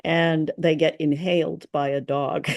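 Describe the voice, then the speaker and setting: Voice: mid-range voice, level tone, a little slow, creaky, dry recording, studio quality. A co-host in casual chat, sharing trivia in a chatty, playful, slightly tentative way.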